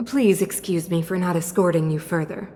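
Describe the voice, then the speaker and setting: A woman's voice: on the low side, steady tone, moderate pace, mellow, long reverb tail, a little hiss or hum, close to an everyday voice. A woman voicing a dignified, guarded female ruler in a video game, speaking formally and with measure. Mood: gracious, formal. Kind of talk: English video game dub acting.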